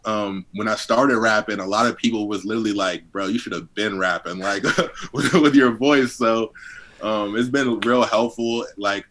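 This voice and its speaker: Deep-voiced young man from D.C. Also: normal speed, a bit bright. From Southeast Washington, D.C. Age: 20s. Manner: laid-back, heavy on fillers, chuckles often. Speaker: guest rapper. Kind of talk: casual interview chat.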